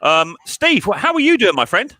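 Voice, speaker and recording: Bright-voiced English man hosting a chat. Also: sits high, very animated, normal speed, no echo. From England. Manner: jokey and teasing, stumbles over restarts. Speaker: host of a live-stream video chat. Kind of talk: casual chat.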